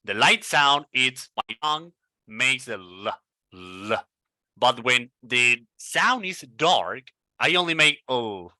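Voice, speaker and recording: A Venezuelan man with a Venezuelan Spanish accent coaches English pronunciation, saying each model word several times. His speech is very slow and deliberate, and his voice is strikingly bright. The recording is dry, with little room sound. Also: mid-range voice, expressive, no room sound. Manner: drills words slowly and repeats them, deliberate. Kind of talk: pronunciation lesson with slow repeated model words.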